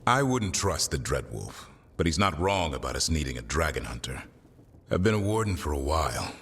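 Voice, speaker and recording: An actor playing a gruff, wary veteran warden in a video game, with a rough, husky voice. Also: male voice, a bass voice, very animated, moderate pace, fairly bright tone, in a large hall, exaggerated voice. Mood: wary, grim.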